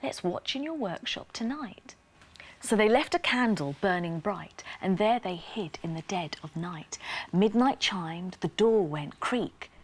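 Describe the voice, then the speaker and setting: A woman presenting a scripted children's bedtime story in an expressive, sing-song rhyming delivery. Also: mid-range voice.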